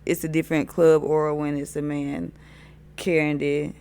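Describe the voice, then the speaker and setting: A woman DJ with a deep voice, chatting as a podcast guest in a laid-back, hesitant way. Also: little pitch movement, moderate pace, vocal fry, mellow. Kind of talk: casual interview chat.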